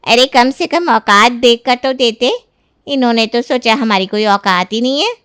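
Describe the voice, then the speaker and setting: A woman's voice: a little high, normal speed, fairly bright tone, clean tone, natural voice. A woman playing an indignant, haughty middle-aged mother-in-law in a cartoon family drama. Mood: scornful. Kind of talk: Hindi moral-story cartoon dubbing.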